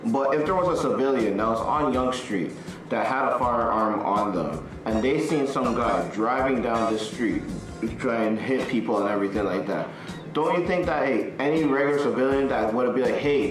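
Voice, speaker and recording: A man's voice: medium pitch, moderate pace, few pauses, over music. A man with a Toronto accent hosting a casual debate podcast, talking loose and slangy and asking pointed questions. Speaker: podcast host. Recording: echoey room, mic far off.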